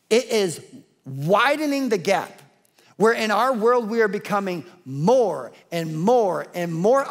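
A man in his forties preaching a sermon from a large church stage on a headset mic, emphatic and building through repetition. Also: high-pitched. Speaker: pastor.

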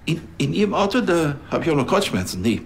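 An actor playing a self-important, indignant former police councillor who stammers in a TV crime comedy. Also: male voice, fairly high pitch, sing-song, fast, vocal fry, a little hiss or hum. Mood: flustered indignant.

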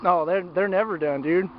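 Excited man fishing by a lake. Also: high-pitched. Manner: excited, laughing, cheering on the catch. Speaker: fishing vlogger filming. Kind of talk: casual outdoor commentary. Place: lakeside outdoors. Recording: muffled, loud outdoor noise.

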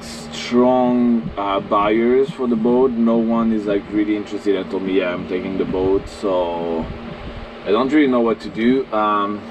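A man's voice: fairly low pitch, noisy background. A young man with a French accent, vlogging casually about his sailing trip with a camera, thinking aloud with fillers. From France.

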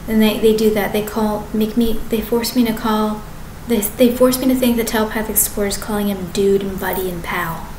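A woman in her thirties talking to a webcam in her apartment, rambling and halting, often restarting and correcting herself. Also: medium pitch, steady tone, a little mellow. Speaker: vlogger. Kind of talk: rambling direct-to-camera monologue. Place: apartment living room with kitchen behind. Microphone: webcam at desk distance. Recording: echoey room with background noise.

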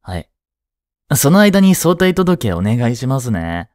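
Man playing a lively, cheeky slacker schoolboy. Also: mid-range voice, takes it slowly, no echo, studio quality. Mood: casual, nonchalant. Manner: casual, teasing, slangy, blunt. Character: cheeky, laid-back slacker high-school boy. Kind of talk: Japanese romantic audio drama acting.

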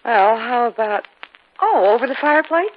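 An actress playing Marcia, an impressionable wife in a 1950s radio drama. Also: female voice, fairly high pitch, lively intonation, normal speed. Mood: pleasant, curious. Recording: dull, noisy vintage radio recording.

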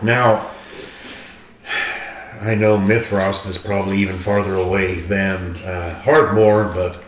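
A man playing a tabletop role-playing game, talking casually and deliberately, with some hesitation. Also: sits low. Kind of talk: casual in-character game discussion. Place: living room group session. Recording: roomy, distant mic, faint noise.

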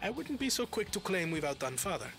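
A man playing a measured, articulate and cautious scholarly young prince in a video game. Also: high-pitched, big pitch swings, gravelly, a bit bright, faint music behind, exaggerated voice. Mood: cautioning. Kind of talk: dramatic video game acting.

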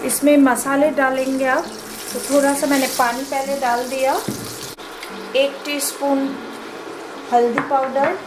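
High-voiced Indian woman, unhurried cooking host. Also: flat delivery, background noise. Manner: simple, instructional, switches between Hindi and English. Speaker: home cook and channel host. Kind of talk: step-by-step cooking tutorial narration.

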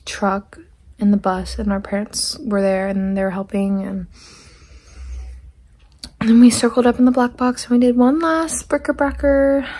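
A woman's voice: on the high side, little pitch movement, moderate pace, darkish tone. A young woman chatting casually to camera in an informal, rambling, youthful way, recorded on a handheld camera at arm's length. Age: teens to young adult. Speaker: vlogger. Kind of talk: casual vlog chat to camera.

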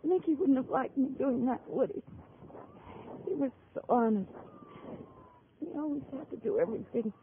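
Creaky, fading wounded widow. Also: female voice, high voice, expressive, moderate pace, long pauses. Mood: bitter, unrepentant. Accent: American. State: weak, faltering, near death. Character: dying, bitter, devoted gangland widow. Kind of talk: 1950s American radio drama acting. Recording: muffled, noisy old radio recording.

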